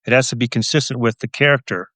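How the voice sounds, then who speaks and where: A man answering interview questions as a guest author, casual and reflective, in a thoughtful, measured manner. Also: mid-range voice, a bit bright, dry recording.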